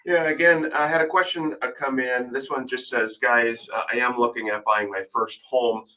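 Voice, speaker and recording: An American male talk radio host speaks at a medium pitch. His voice is slightly froggy, as he is battling a cold. He talks in a conversational way to listeners and his co-host. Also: fairly even intonation, normal speed, bright, forceful tone, rough recording. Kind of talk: live call-in real estate radio talk.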